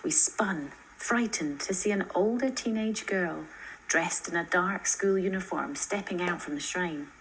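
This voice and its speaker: A woman's voice: fairly low pitch, measured pace, slight background noise, low-quality recording. A woman reading a scripted story aloud as its narrator.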